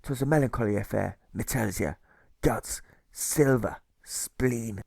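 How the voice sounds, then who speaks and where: A man voicing a gruff, volatile old sailor turned jailer in an audiobook, with a stage pirate accent and blustering, exclamatory delivery. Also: medium pitch, measured pace, darkish tone, dry recording, studio quality. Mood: brooding.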